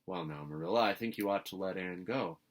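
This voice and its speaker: A man voicing a mild older farm man in a storybook, plain, folksy and quietly insistent. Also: deep voice, fairly quick, natural voice. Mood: quietly stubborn.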